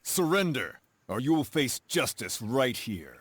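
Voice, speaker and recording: An actor voicing a stern, curt frontier cavalry officer in a video game cutscene. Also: male voice, mid-range voice, big pitch swings, unhurried, fairly bright tone, no echo. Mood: commanding.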